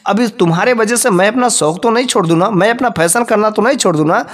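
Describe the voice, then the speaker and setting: A man's voice: high voice, fast, pitched above the performer's own voice. A man voicing a selfish, arrogant young husband in a story, scolding and defiant. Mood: threatening.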